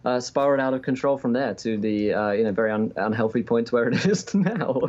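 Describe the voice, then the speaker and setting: Over a slightly muffled, lo-fi call, a man in his early twenties chats casually. His voice sits at a middling pitch, and he is wry and self-deprecating. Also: animated, fairly quick, darkish tone. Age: early 20s. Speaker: podcast guest, game master. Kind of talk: casual chat. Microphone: remote call. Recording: dull lo-fi remote call.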